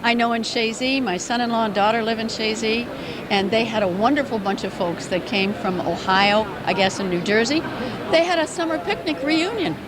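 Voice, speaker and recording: An older woman promoting regional tourism, speaking with upbeat enthusiasm in a loud voice during an interview at a business expo. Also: a little high, moderate pace, heavy background noise. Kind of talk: casual interview. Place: busy indoor business expo.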